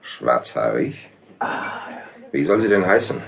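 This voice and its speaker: A man playing a gruff, suspicious, menacing tavern keeper in a German audio drama, blunt and surly. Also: sits low, very animated, slow pace, full character voice. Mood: cowed, evasive. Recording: music under the dialogue.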